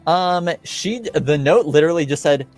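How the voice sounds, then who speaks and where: A man running a tabletop role-playing game as game master, describing scenes in a relaxed, easygoing way. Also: fairly high pitch, normal speed, soft background music. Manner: relaxed, easygoing, many fillers.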